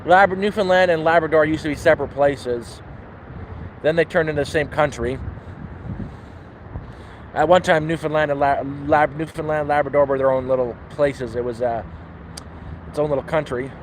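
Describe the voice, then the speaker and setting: A man's voice: a little high, fairly even intonation, moderate pace, pauses often, rough recording. Rambling Canadian man walking outside. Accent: Toronto. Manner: rambling, chatty, talks while walking outdoors. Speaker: vlogger. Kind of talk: casual walking vlog talk. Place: city street outdoors. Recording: faint street and traffic noise.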